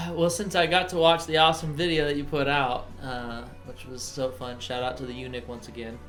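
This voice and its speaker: A man's voice: medium pitch, level tone, moderate pace, fairly bright tone, faint music behind. A man in his forties from the United States, chatting casually and joking around as a guest on a reaction video. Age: 40s. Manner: playful, jokey. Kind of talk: casual chat.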